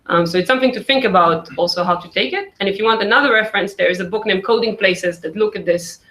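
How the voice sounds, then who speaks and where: A woman's voice: mid-range voice, fast talker, sharp tone, poor audio. A woman whose first language is Hebrew, speaking casually on a discussion panel with many "like" fillers.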